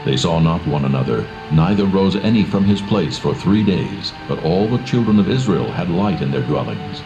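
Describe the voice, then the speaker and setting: Very deep solemn male narrator. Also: lively intonation, moderate pace, dull audio. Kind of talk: solemn dramatised scripture narration. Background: prominent background music.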